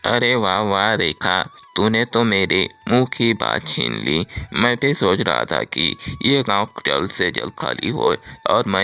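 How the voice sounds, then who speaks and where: A man's voice: low voice, vocal fry, sharp tone, smooth, exaggerated voice. A man playing a greedy, miserly villager in a cartoon moral story, scornful and selfish. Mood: gloating.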